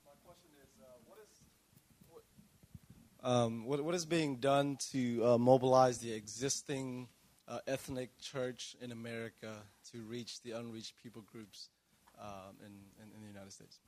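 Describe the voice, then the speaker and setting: Halting male questioner, faint noise. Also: mid-range voice, steady tone, darkish tone. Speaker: audience questioner. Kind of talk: asking a question at a panel.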